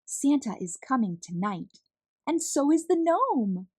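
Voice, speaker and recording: Female storybook narrator, slow and expressive, slightly breathy-husky tone. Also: sits high, no echo, studio quality. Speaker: children's story reader, channel host.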